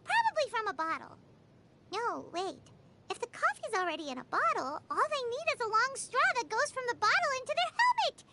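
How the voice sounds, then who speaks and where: A woman voicing a small, childlike fairy companion in a video game, excitable and naive, with a squeaky voice. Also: a little fast, background noise, full character voice. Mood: curious, musing. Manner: excitable, exclamatory, refers to herself by name. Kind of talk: video game character dialogue.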